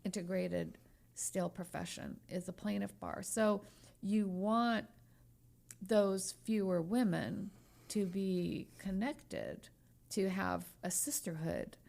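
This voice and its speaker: A woman's voice: mid-range voice, slow, gravelly, a little mellow. A woman in her sixties, a lawyer co-hosting a podcast, talking frankly and emphatically and repeating phrases as she hunts for words. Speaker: podcast co-host, trial lawyer. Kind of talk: candid podcast conversation.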